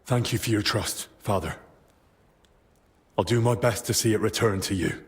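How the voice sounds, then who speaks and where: A man playing an earnest, determined young man in a medieval video game drama, speaking in a measured, respectful way. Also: fairly low pitch, close to an everyday voice.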